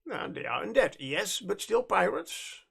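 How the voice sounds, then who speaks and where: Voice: medium pitch, sing-song, measured pace, vocal fry, fairly bright tone, breathy edge. A streamer with a Dutch accent, a native Dutch speaker, chatting casually while painting, in a hesitant, rambling way with frequent self-corrections. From Netherlands. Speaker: livestream host and miniature painter.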